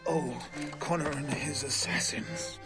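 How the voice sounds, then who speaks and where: A man's voice: a little high, fairly even intonation, unhurried, over loud music, close to an everyday voice. A man playing an indignant, accusing enemy of the Templars in a period video game. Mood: contemptuous accusation. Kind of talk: video game cutscene acting.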